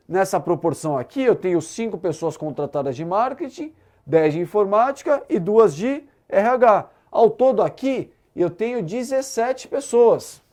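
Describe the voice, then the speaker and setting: Casting: Brazilian man as an exam-prep teacher, soft-edged mellow timbre, very varied intonation, didactic delivery. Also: sits high. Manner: didactic, checks understanding with short tag questions. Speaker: math teacher. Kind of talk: explanatory exam-prep lesson.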